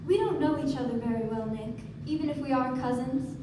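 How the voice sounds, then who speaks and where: A woman plays a young society wife with a high voice, gushing and affectedly romantic with a cynical streak. It is radio-play acting, echoey and far from the microphone. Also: brisk, close to an everyday voice. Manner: gushing, theatrical. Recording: echoey stage recording from afar, noisy.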